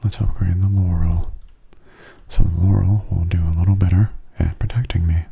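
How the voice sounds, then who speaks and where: Male ASMR gamer, very low and gravelly, soft-spoken, gentle, breathy, unhurried delivery. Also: steady tone, dull audio. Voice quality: soft, breathy, often half-whispered. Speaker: gamer giving gameplay commentary.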